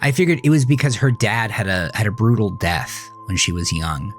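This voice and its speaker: A man narrating a scripted first-person horror story in a dramatic, deliberate way with drawn-out pauses. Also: fairly low pitch, animated. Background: background music.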